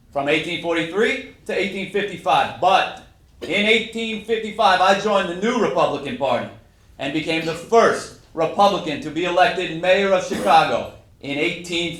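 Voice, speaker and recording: Man playing a high-pitched proud, folksy old-time politician. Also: a bit bright. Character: tall, proud, folksy 1850s Chicago mayor. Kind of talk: dramatic monologue reading on stage. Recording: echoey hall stage recording.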